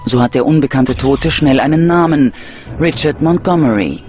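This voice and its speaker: A man delivering scripted true-crime documentary narration in a dramatic, measured, ominous manner. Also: medium pitch, a little mellow. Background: background music.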